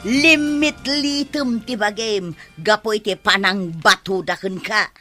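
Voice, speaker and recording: A woman's voice: mid-range voice, slow pace, bright, forceful tone, soft background music. A woman with an Ilocano accent playing a stern, reproachful villager in a comedy radio drama.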